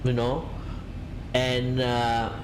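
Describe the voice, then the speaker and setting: Casual streamer: man, rambling, mid-pitched voice, faint background noise. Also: completely flat delivery, very slow. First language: Italian. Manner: rambling, many fillers and restarts, reads out chat. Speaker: flight simulator streamer. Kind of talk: casual live-stream chat.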